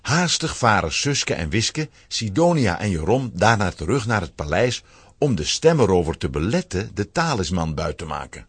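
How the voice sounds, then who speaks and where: A man giving a dramatic, expressive scripted narration for a children's audio drama. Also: lowish voice, moderate pace, breathy edge, no room sound.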